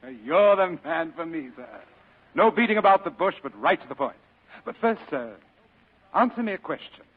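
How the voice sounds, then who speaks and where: Radio drama villain: big jovial schemer, florid and courtly, lighter-pitched voice, muffled vintage broadcast. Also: male voice, lively intonation, moderate pace. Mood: delighted, shrewd. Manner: florid, courtly, chuckling speech. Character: jovial, sly, heavyset male crime boss. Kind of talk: 1940s radio acting.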